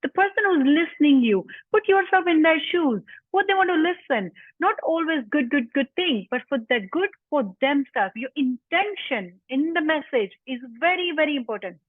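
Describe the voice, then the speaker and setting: Woman panel host on a video call, enthusiastic and high-pitched, dry phone-like audio. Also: lively intonation, normal speed, no room sound. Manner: enthusiastic, emphatic. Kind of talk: moderating an online panel. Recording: tinny dry phone-like line.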